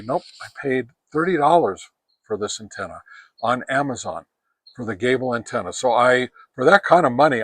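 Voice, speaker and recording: An older American man giving a casual, unhurried product review, explaining things step by step. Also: mid-range voice, fairly bright tone. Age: older, 60s or above.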